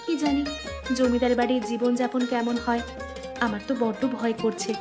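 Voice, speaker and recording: A woman acts out a young village bride in an animated folk tale. She is shy, anxious and sweetly affectionate, with a highish voice. Music is clearly heard underneath. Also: normal speed, close to an everyday voice. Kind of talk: animated moral-story voice acting.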